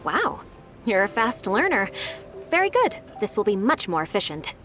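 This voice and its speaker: A woman playing a diligent, eager young secretary in a video game, brisk and polite as she methodically explains things. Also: mid-range voice, big pitch swings, fairly bright tone, soft background music. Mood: pleased, impressed.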